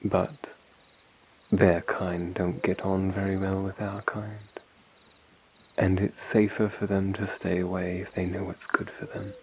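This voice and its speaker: A man playing a stern, coolly commanding vampire of an old family in an ASMR roleplay, speaking in a measured, formal, authoritative way. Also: low voice, level tone, slight background noise, rough recording. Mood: composed, firm.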